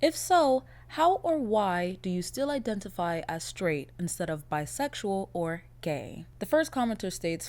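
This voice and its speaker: A young woman hosting her own podcast, chatting casually in a blunt, profane, animated way, her voice a little raspy from a cold. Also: on the low side. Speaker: podcast host. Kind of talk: casual solo podcast chat.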